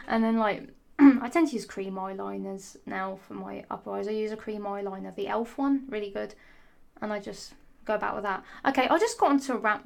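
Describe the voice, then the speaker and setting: A young woman, her voice hoarse, rambling sassily to camera with frequent swearing and restarts. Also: medium pitch. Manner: rambling, sassy, swears often, many restarts. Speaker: vlogger. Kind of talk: rambling casual monologue.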